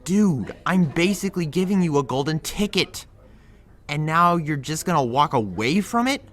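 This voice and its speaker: A blunt young friend handing out tough love, lecturing firmly in an audio drama. His voice is bright and forceful, with pitch that moves a lot. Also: animated, normal speed. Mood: pushy. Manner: firm, lecturing.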